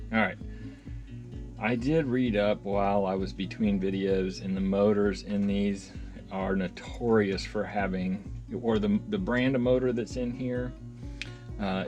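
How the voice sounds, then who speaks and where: A man with a lowish voice presents gear in a loose, unscripted way. He is enthusiastic but rambles, mutters asides and corrects himself mid-sentence. Faint music can be heard behind him. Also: steady tone, measured pace. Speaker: hobbyist video host and reviewer. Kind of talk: casual unscripted gear demonstration.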